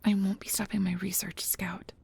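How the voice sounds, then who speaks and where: A woman playing a nervous, earnest, principled field researcher of magical creatures in an ASMR audio drama, soft and hesitant with stammers, close to the mic. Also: mid-range voice, level tone, normal speed, full character voice. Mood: subdued, resolute. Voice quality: breathy, soft. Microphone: close mic, dry recording.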